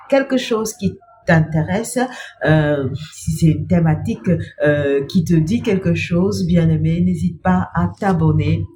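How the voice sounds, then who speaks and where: Slow-speaking woman with a cold. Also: on the low side, slight background noise. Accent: French. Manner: slow, gentle, repeats "bien-aimé" and pauses with "euh". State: has a cold. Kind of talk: devotional talk to camera.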